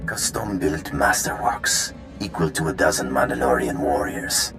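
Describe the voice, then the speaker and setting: A cold underworld syndicate member in a video game. The voice is very deep and echoing, formal and measured, with faint music underneath. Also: male voice, expressive, a bit bright, heavily acted. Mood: cool, formal. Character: cold male alien or droid crime syndicate member. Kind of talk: video game character acting.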